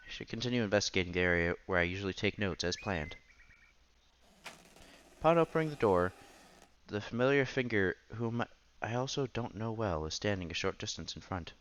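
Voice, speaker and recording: Low-voiced man reading aloud, slightly muffled. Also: a bit bright, a little hiss or hum. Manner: stumbles over words and rereads them. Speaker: visual novel reader, channel host. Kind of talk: reading a visual novel aloud. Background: background music.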